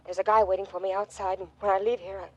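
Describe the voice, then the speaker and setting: Casting: actress for a frightened, pleading young American socialite, husky and breathy, in vintage radio thriller style. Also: female voice, medium pitch, flat delivery, quick pace, lo-fi sound, lightly acted. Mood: scared, pleading. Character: frightened, urgent young glamour woman seeking help. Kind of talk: 1950s radio drama acting.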